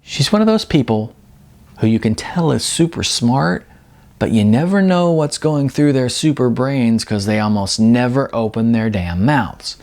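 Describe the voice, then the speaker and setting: A man voicing a cocky, excitable young male musician in an audiobook, slangy and boastful. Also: mid-range voice, vocal fry. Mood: animated musing.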